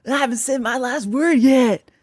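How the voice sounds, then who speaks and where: A woman voicing a stern, wise old male badger in a storybook reading, speaking slowly and severely. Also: high voice, darkish tone, no echo, clean studio sound, pitched above the performer's own voice. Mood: reassuring. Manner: severe, measured, slow.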